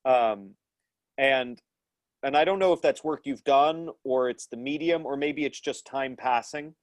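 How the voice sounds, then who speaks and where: A man's voice: mid-range voice, a little slow, no room sound. A man in his thirties teaching an online acting class from home over a video call, speaking thoughtfully with many restarts and fillers. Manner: gestures with his hands while explaining. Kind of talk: live online class lecture and Q&A. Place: small room at home. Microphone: earphone headset mic on a video call.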